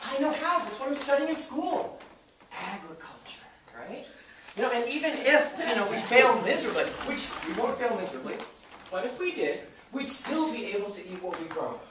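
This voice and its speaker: An actor playing a young, idealistic, broke farmer on stage, pleading and stammering in slangy, insistent speech. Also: male voice, very high voice, big pitch swings, moderate pace. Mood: eager pleading. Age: young adult. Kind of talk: stage play acting. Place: indoor theater stage. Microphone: far camera. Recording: echoey theater stage, distant noisy camera mic.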